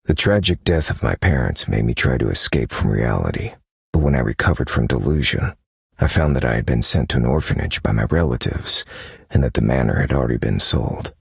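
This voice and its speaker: A haunted game novelist, super low husky voice, brooding and distrustful, dry close recording. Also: male voice, lively intonation, fairly quick, no echo, exaggerated voice. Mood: somber, reflective. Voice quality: gravelly, husky, very deep. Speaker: game character voice actor. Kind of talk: dramatic scripted monologue.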